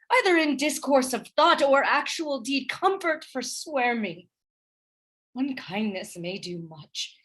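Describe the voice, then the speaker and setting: A woman playing a gentle, wronged young noble wife in a Shakespearean radio play, plaintive and earnest. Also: high voice.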